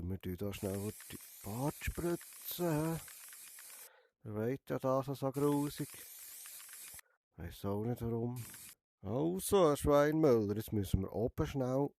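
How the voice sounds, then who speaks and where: A man's voice: on the low side, mellow, no echo, studio quality. Swiss German man with a cold. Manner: chatty, teasing, ends sentences with a questioning "hä?". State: has a cold. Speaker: gamer streaming a VR barber game. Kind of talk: playful in-character gameplay commentary.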